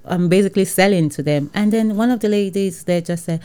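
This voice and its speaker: A woman's voice: medium pitch, a little fast, dark tone, smooth. A woman with a Nigerian accent, an entrepreneur telling her story in a casual interview, acting out dialogue and laughing often. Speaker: entrepreneur guest.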